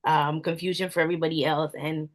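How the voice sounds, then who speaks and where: A young woman, an event planner, answering interview questions in relaxed casual talk, with lots of "like" fillers. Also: deep voice, fast talker. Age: young adult. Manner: soft-spoken, frequent "like" fillers.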